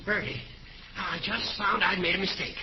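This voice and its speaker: A man playing a chummy, secretive older judge with a creaky voice in a 1940s radio comedy. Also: high-pitched, steady tone, normal speed, natural voice. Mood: fussy, secretive. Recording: dull, noisy old-time radio recording.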